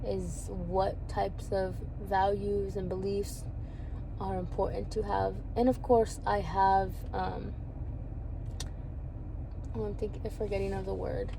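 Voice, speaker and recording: A young woman in her twenties shares her thoughts in a loose, rambling way, with frequent little laughs. Her voice sits at an ordinary middle pitch for a woman. Steady street and traffic noise runs clearly behind her outdoors. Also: slow. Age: 20s. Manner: candid, rambling, laughs often. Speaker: vlogger. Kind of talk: reflective personal vlog.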